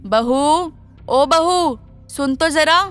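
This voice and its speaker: A woman's voice: high-pitched, extremely slow, soft background music. A woman voicing a cruel, scheming older mother-in-law in a moral story, bossy and sarcastic as she scolds. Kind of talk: Hindi moral story voice acting.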